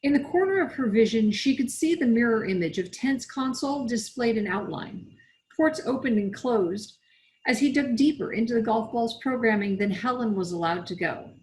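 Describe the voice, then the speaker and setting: A woman's voice: fairly high pitch, expressive, normal speed, darkish tone, poor audio. A woman reading as an author, in the style of scripted audiobook narration. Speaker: author reading from own novel.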